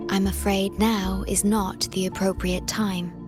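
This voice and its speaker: A woman playing a serious, careful young woman in a video game, speaking in a measured, formal way. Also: mid-range voice, little pitch movement, music in the background, natural voice. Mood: calm, cautious.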